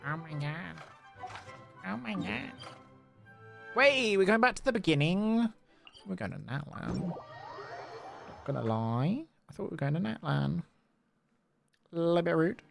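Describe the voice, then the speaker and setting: Man streaming, slow-paced and very expressive. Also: fairly high pitch, creaky. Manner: excitable, reacts with gasps and drawn-out exclamations. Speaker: game livestreamer. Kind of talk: casual livestream commentary, reading game dialogue aloud. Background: game music.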